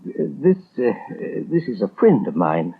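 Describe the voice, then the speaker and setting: An actor in a 1930s radio melodrama playing a panicky, scheming, guilty husband who stammers nervously. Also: male voice, medium pitch, very animated, unhurried, vocal fry, slightly rough, heavily acted. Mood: nervous pleading. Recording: noisy, tinny 1930s radio broadcast.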